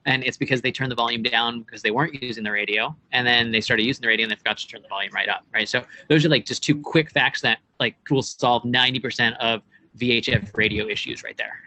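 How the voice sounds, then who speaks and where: A man's voice: mid-range voice, fast talker, bright, forceful tone, a little hiss or hum, poor audio. A young American man giving casual, informal explanations as a guest expert, often checking in with tag questions. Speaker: boat club operations director, guest expert. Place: outdoors on a marina dock.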